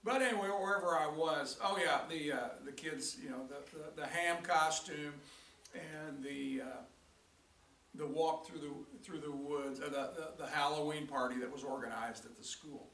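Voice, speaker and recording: An older male teacher recapping a lesson in a rambling way with many restarts, his voice raspy and tired. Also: fairly high pitch, normal speed, a bit bright, distant mic. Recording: echoey room, voice from off-mic.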